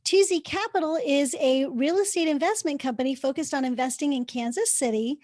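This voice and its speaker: High-voiced, enthusiastic woman host. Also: a little fast, darkish tone, dry recording, clean studio sound. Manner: enthusiastic, laughs easily. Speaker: podcast host. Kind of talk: reading a guest bio, then casual interview chat.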